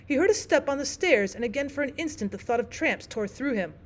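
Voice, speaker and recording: A woman teacher narrating a classic novel aloud in a steady, careful reading. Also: high-pitched, a little fast. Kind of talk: audiobook narration.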